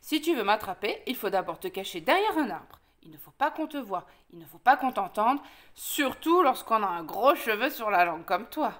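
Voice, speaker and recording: A woman puts on a little storybook rabbit with a high voice. He is cheeky and fearless, ordering others about in brisk, chatty, know-it-all speech. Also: big pitch swings, fairly bright tone. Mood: bossy, scornful. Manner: brisk, bossy, instructing, colloquial. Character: small cheeky bossy rabbit, fearless male trickster.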